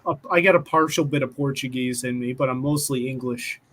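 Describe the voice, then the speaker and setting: A man's voice: mid-range voice, fairly bright tone. A young man hosting a casual online group hangout, joking and often restarting his sentences. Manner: joking, halting, repeats words. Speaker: host.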